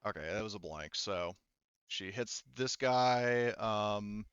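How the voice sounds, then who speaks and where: A man's voice: fairly low pitch, animated, slow, fairly bright tone, smooth, dry recording, highs cut off. A man acting as game master in a tabletop roleplaying game, thinking aloud through rules and dice math.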